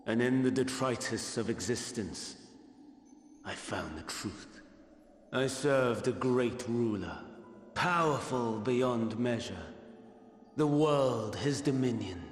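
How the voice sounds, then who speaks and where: An actor playing a despairing, grandiose villain in a video game, delivering a solemn, theatrical, weary monologue. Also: male voice, on the low side. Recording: echoey hall reverb with music behind.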